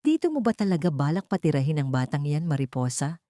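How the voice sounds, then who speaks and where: A woman's voice: deep voice, big pitch swings, fast talker, warm tone, no echo, studio quality. Icy, haughty Filipino matriarch, voiced by a woman narrator. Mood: cold, scornful. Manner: icy, disdainful. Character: cold, haughty rich matriarch of a mansion.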